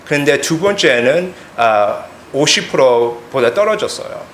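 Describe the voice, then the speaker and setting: A young man with an American accent preaches with personal anecdotes, pausing often to search for words. His pitch sits in the middle for a man. The room echoes around his voice, with a little noise behind it. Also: animated, a bit bright. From United States, Korean immigrant family. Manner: halting, searching for words, simple phrasing.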